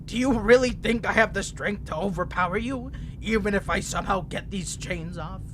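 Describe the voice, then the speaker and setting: A man's voice: sits high, normal speed, a bit bright, a little hiss or hum. A man voicing an unhinged, ancient imprisoned vampire who is flirtatious, needy and manipulative, talking in a theatrical, rambling, teasing way in an audio roleplay. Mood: pleading, cajoling. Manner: theatrical, rambling, teasing, swinging between smug and pleading. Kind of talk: dramatic character audio roleplay.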